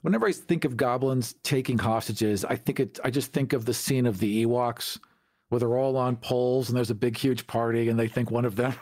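A man's voice: medium pitch, fairly even intonation, brisk, a little mellow, no echo. Man in casual gaming chat, dry sound. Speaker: podcast co-host. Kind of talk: casual tabletop gaming discussion.

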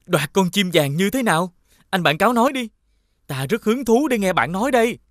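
A man voicing an earnest young prince in an animated fairy tale, speaking expressively. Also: high voice, normal speed, no echo, studio quality. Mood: grateful, eager.

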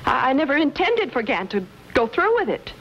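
A woman's voice: sits high, very animated, fairly quick, lo-fi sound. An actress playing a proud, remorseful young landowning woman in a 1950s television western. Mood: defiant remorse. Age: young adult. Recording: noisy old TV soundtrack.

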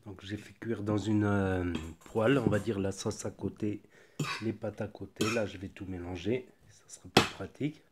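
A man with a cold reviewing a ready meal at home in a relaxed, chatty way, thinking aloud as he goes. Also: lowish voice, moderate pace, background noise. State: has a cold. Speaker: food reviewer. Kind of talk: casual product tasting review. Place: home kitchen table.

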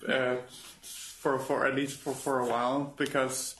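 A young man from Denmark, a native Danish speaker, speaking haltingly in an informal seminar discussion. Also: mid-range voice, flat delivery, a bit bright. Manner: hesitant, halting. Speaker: seminar participant. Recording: echoey room with faint noise.

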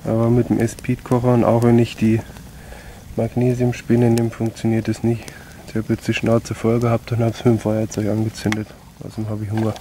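A man's voice: medium pitch, warm tone, slight background noise. A young man casually talking through his cooking outdoors in a forest, in a laid-back, wry way, recorded on the camera mic. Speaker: bushcraft video maker.

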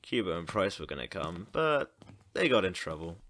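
A man's voice: low voice, big pitch swings, moderate pace, a bit bright, slight background noise. A man acting an easygoing, chatty teenage schoolboy in a casual audio drama.

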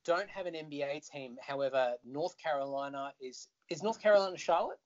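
An Australian man, a musician guest, telling stories in an animated, chatty way over a video call. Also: fairly high pitch, fairly quick. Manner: animated, chatty storyteller who laughs easily. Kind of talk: casual video call chat. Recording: dull lo-fi call audio.

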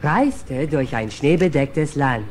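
Ein Schauspieler spielt einen kindlich-naiven, gutmütigen Wildkater in einem Kinderhörspiel, verspielt und etwas begriffsstutzig. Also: male voice, a little high, lively intonation, slow pace, a little mellow. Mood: storytelling. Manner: playful, dim-witted, faltering when reading. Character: goofy, kindly male cartoon wildcat mechanic, childlike. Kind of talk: children's audio drama acting. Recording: noisy old cassette audio drama.

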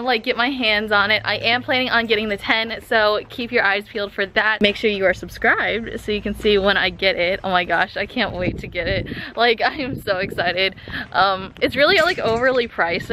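A young adult woman chatting casually and excitedly to a handheld camera as she vlogs out and about. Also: fairly high pitch. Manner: excitable, gushing. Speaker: vlogger. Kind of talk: casual vlog chat. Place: out and about, mostly inside a busy shopping mall. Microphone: handheld camera at arm's length. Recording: loud crowd and shop noise.

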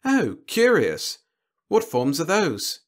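A man's voice: on the high side, huge pitch swings, slow pace, pitched above the performer's own voice, exaggerated voice. A man voicing a genteel, pompous alien warlord in an audiobook, with fussy, over-polite, mannered speech. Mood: dismayed, fussy. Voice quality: scratchy. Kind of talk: audiobook character dialogue.

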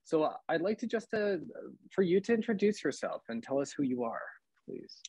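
A man's voice: fairly high pitch, very animated, moderate pace, darkish tone, slightly rough, no room sound, poor audio. A man in his thirties, an English teacher hosting an interview on a video call, asking polite questions with many "um"s and "uh"s. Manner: filler-heavy, polite questions. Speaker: podcast host, English teacher. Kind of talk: interview host.

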